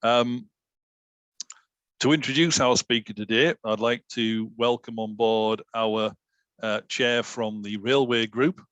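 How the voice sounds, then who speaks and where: A man hosting a webinar and handing over to the chair, brisk with fillers. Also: medium pitch, steady tone, a bit bright, dry recording, muffled recording. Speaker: webinar host. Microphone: video call.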